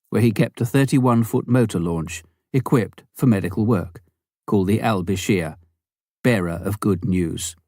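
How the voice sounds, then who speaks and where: Low-voiced man reading a memoir. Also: a little mellow, dry recording, clean studio sound. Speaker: audiobook reader. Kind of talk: scripted narration of a memoir.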